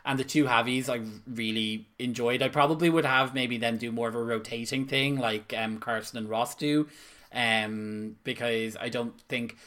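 A man's voice: mid-range voice, steady tone, moderate pace, a bit bright. A man co-hosting a podcast in casual chat, talking emphatically with many fillers. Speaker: podcast co-host.

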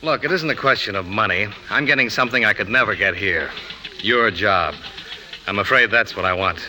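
Cocky, very bright-voiced young wisecracker. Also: male voice, fairly low pitch, fairly quick, slight background noise, low-quality recording. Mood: mocking. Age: young adult. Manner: flippant, sardonic, needling. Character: cocky, wicked-tongued, ambitious young male magazine employee. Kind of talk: 1950s radio drama acting.

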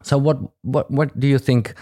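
A man's voice: mid-range voice, fairly even intonation, normal speed, mellow. A Dutch-accented man, a podcast host whose first language is Dutch, asking questions in a casual, hesitant way with many fillers and restarts. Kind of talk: casual interview.

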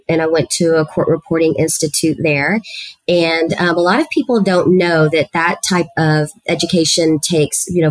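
A woman's voice: sits low, dry recording, low-quality recording. A middle-aged woman from South Texas, a guest on an interview podcast, telling her career story in an earnest, talkative way with frequent fillers. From South Texas, USA. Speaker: guest, student housing executive. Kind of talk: conversational storytelling.

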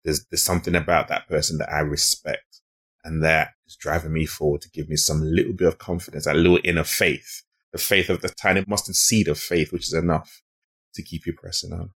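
A man's voice: rumbling low, level tone, brisk, vocal fry, fairly bright tone, husky. A man hosting a conversational podcast interview, speaking in an earnest, encouraging way. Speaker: podcast host.

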